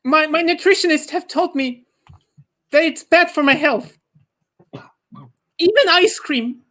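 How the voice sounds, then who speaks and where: Food-obsessed, childlike video-game hero played by a woman; high voice, melodramatic stammer, stubborn temper. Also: measured pace, a bit bright. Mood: sheepish, stubborn.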